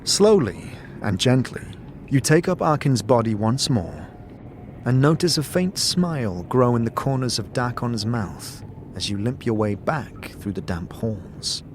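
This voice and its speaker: A man narrating a dark fantasy audio drama in the second person, with measured, solemn, dramatic storytelling. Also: medium pitch, lively intonation, slight background noise. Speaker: narrator and game master. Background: music.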